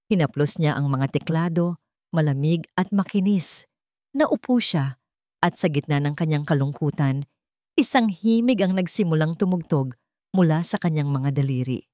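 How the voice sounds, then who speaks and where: A Filipino woman narrating a scripted dramatic story in a measured, emotive way with deliberate pauses. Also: low-pitched, warm tone, no echo, clean studio sound.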